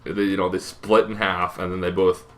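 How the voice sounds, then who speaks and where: A man with a fairly low, bright and forceful voice gives an informal explainer as host of a tabletop gaming channel. He speaks off the cuff, often correcting himself and tacking on asides. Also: level tone, moderate pace, slight background noise. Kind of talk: casual explainer on game monsters, semi-improvised.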